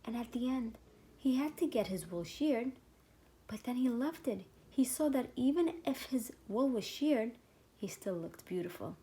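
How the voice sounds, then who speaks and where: A woman's voice: fairly high pitch, lively intonation, measured pace, mellow. A woman teacher hosting a read-aloud, talking casually and simply to young children. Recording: faint background noise and ambience.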